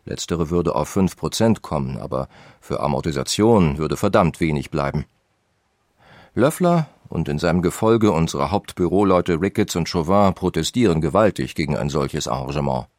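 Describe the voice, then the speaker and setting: An actor reading the letter of a blunt 19th-century businessman about negotiations, in an animated way. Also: a bass voice, normal speed, no room sound, studio quality. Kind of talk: reading letters aloud in a radio documentary.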